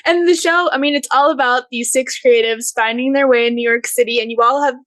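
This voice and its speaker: A woman's voice: sits high, fairly quick, fairly bright tone, dry recording. A woman interviewing guests, asking open questions in a friendly, encouraging way.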